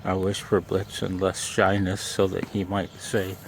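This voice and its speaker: A man with a very low, gravelly voice talks in an unhurried, rambling way. He is casual and self-deprecating, like someone chatting on a homemade vlog. He is recorded outdoors, with faint background noise behind him. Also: completely flat delivery. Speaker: amateur radio vlogger. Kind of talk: casual chat. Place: outdoors in a backyard garden and deck.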